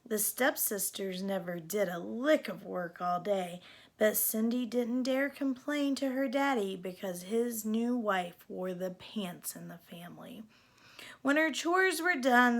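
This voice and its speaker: A woman reading a children's storybook aloud in an expressive storytelling style. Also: on the high side, vocal fry. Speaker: storybook reader.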